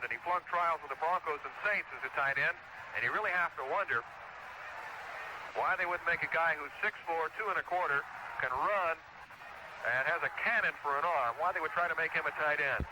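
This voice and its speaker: A man with a high voice gives live American football commentary in an American accent. The old radio recording is noisy, with stadium sound behind him. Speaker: play-by-play sports commentator.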